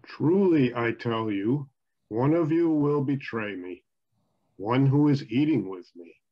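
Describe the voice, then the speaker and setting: Older man voicing solemn teacher, scripture reading, measured delivery, muffled video-call audio. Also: mid-range voice, expressive. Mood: grave.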